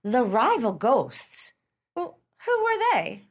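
A woman's voice: on the high side, wildly swooping pitch, takes it slowly, breathy edge, full character voice. An actress playing a droll American society woman in a radio play, with a theatrical, questioning manner. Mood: amused, curious.

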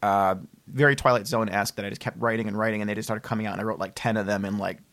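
A man's voice: lowish voice, fairly even intonation, rapid-fire, a bit bright, dry recording. A man co-hosting a podcast interview in a casual, affable and rambling conversational way.

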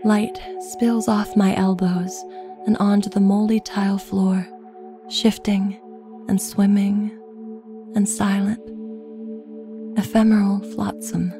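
Female narrator, unhurried lyrical storytelling, very level intonation, music clearly underneath. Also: medium pitch, level tone. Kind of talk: slow literary first-person narration.

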